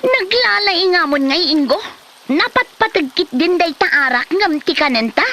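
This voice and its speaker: Scolding, fed-up Ilocano wife played by a woman, comedy drama, high-pitched, reproachful delivery. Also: lively intonation, normal speed, bright, forceful tone, heavily acted. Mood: exasperated. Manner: nagging, reproachful. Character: exasperated, scolding wife of a lazy drunkard.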